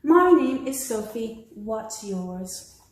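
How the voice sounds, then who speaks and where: A woman as a kind, high-voiced little storybook princess. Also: expressive, takes it slowly, roomy sound. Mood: friendly, gentle.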